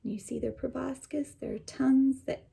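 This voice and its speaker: A woman teacher reading a children's picture book aloud and pausing to explain it in simple words. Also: high voice, steady tone, vocal fry, mellow.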